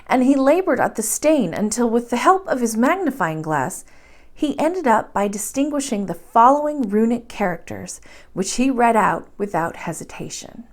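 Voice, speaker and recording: A woman reading a classic novel aloud as a library bedtime story, with expressive storytelling narration. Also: fairly high pitch.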